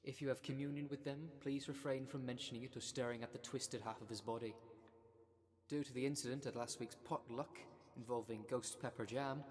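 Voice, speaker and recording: A man's voice: mid-range voice, fairly even intonation, natural voice. Man as a grave cult preacher in a reverberant hall. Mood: formal, deadpan. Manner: measured, grandiose, earnest oratory. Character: solemn, zealous demon-cult leader preaching to followers. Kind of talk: sermon in an audio roleplay. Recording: long reverb of a large hall.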